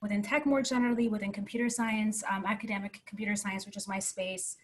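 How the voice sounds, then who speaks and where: A woman's voice: mid-range voice, steady tone, fast. A young woman from Ethiopia, a computer scientist speaking earnestly in a panel discussion over a video call, often adding "right?". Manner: earnest, conversational, frequent "right?" tags.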